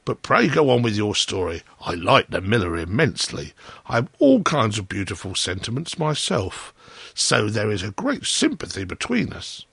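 Opinionated water rat for a storybook, male reader: pompous, lecturing, husky, very lively pitch. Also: moderate pace, dry recording, full character voice. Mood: haughty, opinionated. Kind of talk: storybook character voice.

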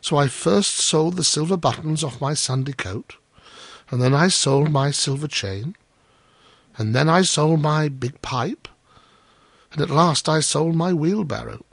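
Man doing a high, eager, humble little gardener. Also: a little slow, creaky, dry recording. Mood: earnest, hopeful. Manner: earnest, polite, eager. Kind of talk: storybook character voice.

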